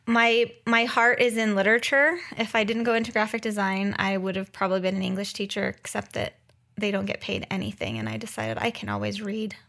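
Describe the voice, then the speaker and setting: A woman in her thirties co-hosts a podcast in a casual, conversational way. Her voice sits at a middle pitch. She talks informally about herself, with a joking, self-deprecating manner. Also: fairly quick, creaky, fairly bright tone. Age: 30s. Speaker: podcast co-host and graphic designer. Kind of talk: casual chat introducing herself.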